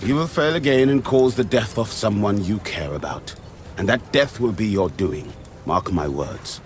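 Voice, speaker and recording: An actor playing a grim, blunt gladiator from Mauretania, terse and solemn, in a role-playing game. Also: male voice, fairly low pitch, expressive, moderate pace, faint music behind, lightly acted. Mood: ominous. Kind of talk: scripted game dialogue.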